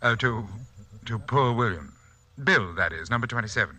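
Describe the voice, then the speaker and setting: A man playing a suave, sinister sanitarium doctor in a 1940s radio mystery, polite, composed and quietly menacing. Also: medium pitch, expressive, moderate pace. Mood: suavely polite.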